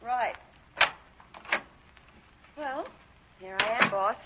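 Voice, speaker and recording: An actress playing a brassy, cocky American con artist in a 1940s radio drama, breezy, slangy and sassy. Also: female voice, on the high side, drawn-out words, heavy background noise. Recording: muffled 1940s radio broadcast recording.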